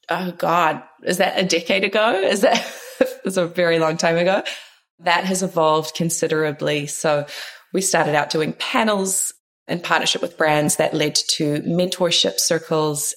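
Low, gravelly New woman. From New Zealand. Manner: thoughtful, frequent hedges like "kind of". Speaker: guest, founder and consultant. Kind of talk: reflective interview conversation.

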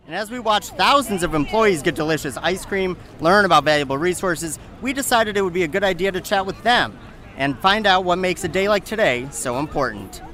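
A man with a loud, somewhat high voice hosts a casual workplace podcast. He keeps the conversation moving with an upbeat manner, turning questions over to his co-hosts. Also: expressive, brisk. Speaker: podcast host.

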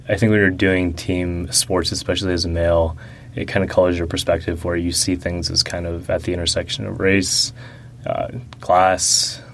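A young man answering interview questions about growing up, talking slowly and reflectively with many fillers and restarts. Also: low voice, gravelly, slight background noise.

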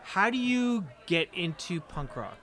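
A middle-aged man hosts a relaxed interview, curious and eager to hear more. He stumbles and repeats words as he gathers his thoughts, with a slightly raised pitch for a man. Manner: halting, repeats words and restarts, curious questioner. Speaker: host. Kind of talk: casual interview.

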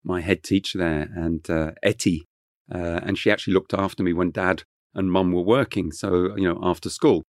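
Middle-aged man, deep and low, dry recording; rambling storyteller guest with a French first language. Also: fairly even intonation, moderate pace, no room sound. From England (Kent). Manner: rambling, many fillers and restarts. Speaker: chef guest. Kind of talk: relaxed interview storytelling.

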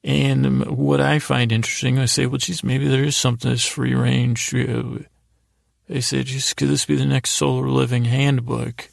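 Man, very creaky voice, middle pitch, rambling bedtime storyteller, halting and meandering, dry close sound. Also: level tone, no room sound. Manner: meandering, halting, trails off mid-thought; silly, mildly absurd humor. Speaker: podcast host.

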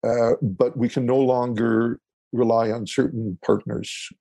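A man from Eastern Ontario whose first language is French, answering interview questions as a consultant in a halting way, with many fillers and restarts. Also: mid-range voice, flat delivery, creaky, dark tone, no room sound. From Eastern Ontario, Canada. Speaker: guest, consultant.

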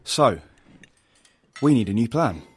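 An actor playing a blunt, bossy rebel in an audio drama, with a brisk, plain-spoken manner. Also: male voice, mid-range voice, very animated, background noise. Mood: urgent, decisive. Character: blunt, bossy outdoor rebel planning a strike.

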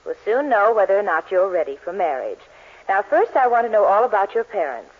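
Animated, exasperated radio marriage counselor. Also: female voice, mid-range voice, brisk. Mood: businesslike. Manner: crisp formality, barely contained exasperation. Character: exasperated professional woman marriage counselor, primly stubborn. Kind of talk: 1940s radio sitcom acting. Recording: muffled 1940s radio, faint noise.

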